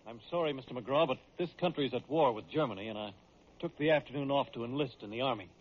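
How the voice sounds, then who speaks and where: An earnest American ballplayer, played by a male actor, talks very fast and respectfully. His husky voice sits in a dull old radio recording. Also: medium pitch, natural voice. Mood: earnest apology. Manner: apologetic, polite, earnest.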